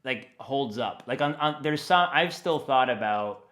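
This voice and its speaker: An American man talking casually and enthusiastically as an interview guest, animated and given to rambling asides. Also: medium pitch, a little slow. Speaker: interview guest, audio dramatist.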